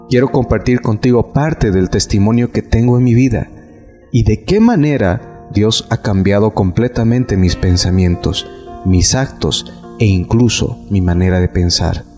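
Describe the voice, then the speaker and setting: A man's voice: on the low side, warm tone. A man in his thirties from Bolivia, praying solemnly and giving his testimony as a prison pastor. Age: 30s. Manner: solemn, prayerful, with repeated invocations. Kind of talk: prayer, scripture reading and personal testimony. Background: faint instrumental music.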